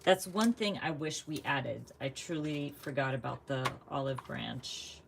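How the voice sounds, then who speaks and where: A woman's voice: a bass voice, measured pace, gravelly, noisy background. A woman from the United States chatting casually while she crafts live, thinking aloud and laughing often. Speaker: craft vlogger.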